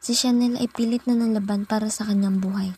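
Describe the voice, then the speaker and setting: A woman's voice: medium pitch, fairly even intonation, fairly quick, dark tone, husky. A young woman giving scripted narration as the storyteller of a student radio drama. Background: background music.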